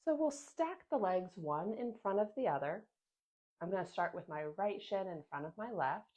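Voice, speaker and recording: A woman yoga instructor calmly cueing a seated class, a little sniffly from a sinus infection. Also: mid-range voice, muffled recording. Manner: gentle, calm cueing with soft pauses.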